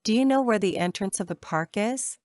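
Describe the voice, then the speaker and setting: A woman reading short English phrases for a language lesson, saying each one slowly and carefully twice. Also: mid-range voice, expressive, darkish tone, dry recording, studio quality. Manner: measured, careful enunciation. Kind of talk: slow repeated drill phrases.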